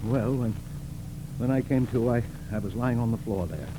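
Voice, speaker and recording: A man's voice: mid-range voice, moderate pace, close to an everyday voice. Guy playing nervous 1940s radio witness, stumbles over words, earnest and defensive, noisy old recording. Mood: shaken, defensive. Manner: hesitant, stammering, earnest. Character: shaken male crime victim, defensive and anxious.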